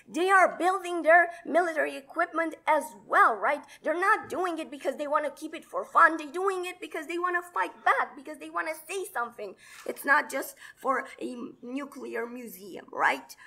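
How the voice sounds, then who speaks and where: A young woman from Romania, delivering a rapid, combative debate speech full of rhetorical questions in a large hall, heard from a far camera. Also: high-pitched, creaky, sharp tone. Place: large indoor conference hall.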